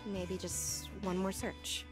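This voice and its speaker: A woman's voice: mid-range voice, flat delivery, slow, close to an everyday voice. A woman playing a cynical, hot-tempered young schoolgirl in an anime visual novel. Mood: puzzled, reading. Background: game music.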